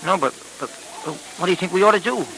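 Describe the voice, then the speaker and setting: A man acting a loyal, anxious detective's partner with a hurried, fretful manner in a 1930s radio serial. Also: high voice, lively intonation, gravelly, sharp tone, exaggerated voice, rough recording. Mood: alarmed. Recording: hissy 1930s radio recording.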